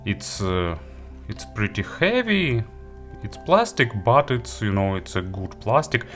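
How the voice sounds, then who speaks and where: Slow-talking man, low halting voice. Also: very animated, fairly bright tone, soft background music. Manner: halting, hesitant, thinking aloud. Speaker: audio gear reviewer. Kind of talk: casual product review and unboxing.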